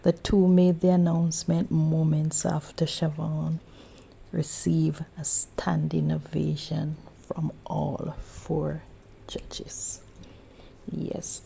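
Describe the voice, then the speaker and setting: A woman's voice: low voice, creaky, warm tone, slight background noise. A woman narrating a vlog story in a half-whispered, encouraging way. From Jamaica. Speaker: vlog host. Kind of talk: scripted narration.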